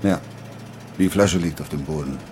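An actor playing a courteous, smitten man in an audio drama, polite and gentle in his way of talking. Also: normal speed. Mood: calm, courteous. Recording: loud vehicle rumble behind the voice.

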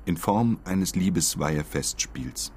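An actor playing an earnest, long-winded envoy in a radio drama, speaking politely and articulately. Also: male voice, sits low, lively intonation, unhurried, a little mellow, a little hiss or hum. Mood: formal, persuasive. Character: earnest, wordy male envoy pitching for a mysterious client.

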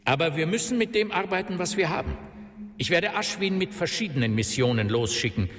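An actor playing a grave, learned wizard mentor in a German radio drama, speaking in a solemn, measured, explanatory way. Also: male voice, on the high side, expressive, a bit bright. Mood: earnest, planning. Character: grave, learned male wizard mentor revealing ancient secrets. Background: music.

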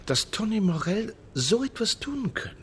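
A man's voice: high-pitched, sing-song, unhurried, slight background noise. An actor playing a deferential theatre doorman in a German radio drama, servile and clipped. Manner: servile, clipped, says sir a lot.

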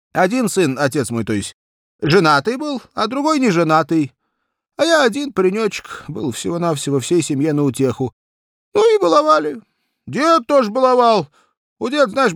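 A man's voice: high-pitched, no room sound, studio quality, pitched above the performer's own voice. A man voicing a simple-hearted young peasant cabman with speech, rambling in a folksy, plaintive way for an audiobook. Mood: fond, reminiscing. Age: young adult. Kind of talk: audiobook character acting.

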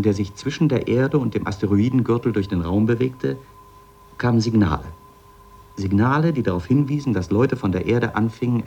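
An eager scientist in a radio play, low-pitched and mellow. He lectures insistently, like a professor setting out bold theories, with some background noise in the 1970s recording. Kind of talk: 1970s German radio drama acting.

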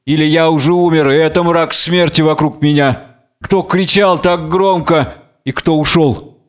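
A man's voice: high-pitched, unhurried, fairly bright tone, voice pushed up. A man playing a tormented, proud captive strongman, declaiming and brooding in a biblical tragedy. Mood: haunted despair. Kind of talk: dramatic reading of a biblical tragedy.

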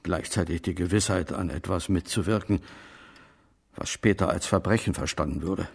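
An actor playing an elderly, self-justifying former Nazi policeman in a German radio drama, speaking haltingly and evasively. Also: low voice, creaky, breathy edge, heavily acted. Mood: weary, grave. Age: older, about 80s.

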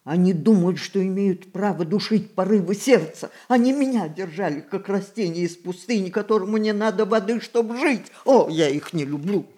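A woman's voice: mid-range voice, moderate pace, few pauses, warm tone, natural voice. An actress plays a very old matriarch in a radio play, full of spirit and rebellion. She is imperious and theatrical, commanding and exclaiming with romantic fervour. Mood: passionate, commanding. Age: older. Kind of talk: stage-style radio play acting.

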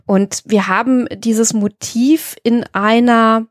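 A woman's voice: fairly high pitch, steady tone, slow, dry recording, clean studio sound. A woman co-hosting a podcast, explaining things conversationally and hesitantly, thinking aloud in long winding sentences. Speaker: podcast co-host.

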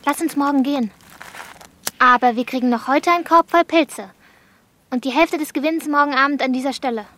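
A woman's voice: high-pitched, fairly quick, a bit bright. A woman playing a level-headed thirteen-year-old girl, terse and matter-of-fact, in a radio drama. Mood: shrewd, bargaining. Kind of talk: naturalistic radio drama acting.

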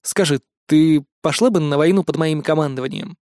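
A narrator plays a self-assured male police captain in an audiobook. The delivery is firm and sardonic at a medium pitch. The recording is dry and close. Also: moderate pace, a little mellow, dry recording, lightly acted. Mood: serious.

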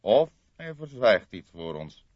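A man voicing a stern, dogged police detective who questions formally and gruffly in an audiobook. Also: fairly low pitch, big pitch swings, slow pace, no echo, pitched above the performer's own voice, exaggerated voice. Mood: suspicious. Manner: gruff, drawling, emphatic, formal. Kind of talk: audiobook character voice. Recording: dull lo-fi audiobook recording.

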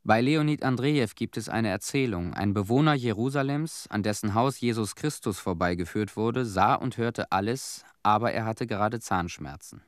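An actor playing a haunted disaster cleanup veteran in a radio play, telling his story in short, measured, somber phrases. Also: fairly low pitch, lively intonation, no echo, clean studio sound, lightly acted. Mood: somber, bitter. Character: haunted Chernobyl cleanup veteran, a man bearing witness.

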